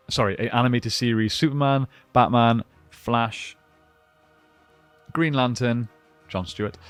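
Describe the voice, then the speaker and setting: A man with a mid-pitched voice chats through a collectible review. He is informal and unscripted, thinking aloud as he handles the item. Music plays behind his voice. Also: no echo. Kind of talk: casual unboxing and review chat.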